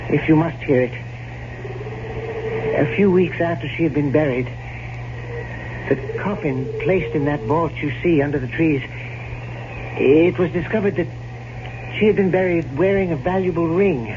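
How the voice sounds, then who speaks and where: Man doing elderly loyal manservant full of dread, formal and solemn, slightly high voice, noisy old radio drama. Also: normal speed, long pauses, narrow, tinny audio, lightly acted. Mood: reluctant, grave. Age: older. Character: old, loyal, foreboding male family servant. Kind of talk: old-time radio horror drama acting.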